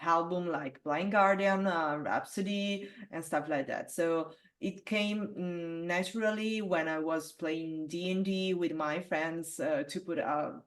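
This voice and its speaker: A woman from Italy who sings metal, talking in a relaxed interview with a low alto voice. She speaks with many hesitant fillers and restarts. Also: unhurried, few pauses. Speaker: metal singer, interview guest. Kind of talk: casual interview conversation.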